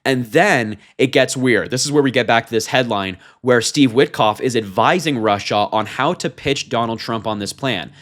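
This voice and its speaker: A man's voice: medium pitch, moderate pace, few pauses, fairly bright tone. A man hosting a political commentary show, reading out reports and reacting with emphatic, indignant disbelief. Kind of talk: reading a transcript aloud with opinionated commentary.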